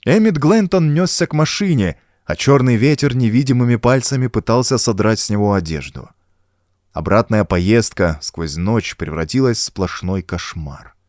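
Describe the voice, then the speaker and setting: A man's voice: lowish voice, animated, moderate pace, a little mellow, no echo, studio quality. A man narrating a horror adventure audiobook in a suspenseful, theatrical manner. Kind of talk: dramatic scripted narration of a horror adventure story.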